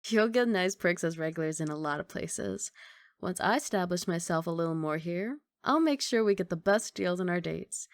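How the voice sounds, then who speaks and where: A woman's voice: fairly low pitch, expressive, moderate pace, dry recording, clean studio sound. A woman playing a confident, doting young mafia boss girlfriend in an intimate, teasing ASMR romantic roleplay. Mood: generous, confident. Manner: playful teasing, intimate one-sided conversation.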